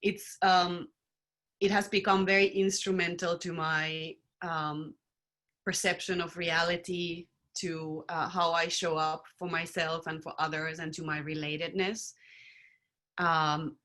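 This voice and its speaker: A woman raised in Finland, speaking as a panelist in a thoughtful, earnest and measured way. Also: lowish voice, flat delivery, bright, forceful tone. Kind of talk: reflective panel talk.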